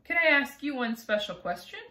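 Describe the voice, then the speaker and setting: A woman's voice: high voice, expressive, brisk, bright, forceful tone, pitched above the performer's own voice. A woman voicing a curious, polite young boy who asks eager, earnest questions.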